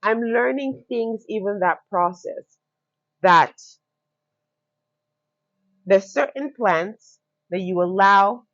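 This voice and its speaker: A woman's voice: medium pitch, slow pace, pauses often, no room sound. A woman from Nigeria with a Nigerian accent, a guest on a podcast interview, talking earnestly and reflectively and repeating her key points. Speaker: fashion entrepreneur guest. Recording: dull lo-fi sound.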